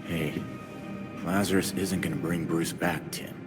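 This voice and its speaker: A man's voice: deep voice, fairly even intonation, measured pace, over music. An actor playing a brooding, blunt, world-weary male vigilante in a video game, speaking tersely. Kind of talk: video game cutscene acting.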